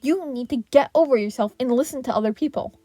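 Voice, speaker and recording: Stern, indignant male teacher, played by a woman narrator; commanding scolding, high-pitched, close dry sound. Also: fast, dry recording. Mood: stern lecturing. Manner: sharp, commanding, lecturing.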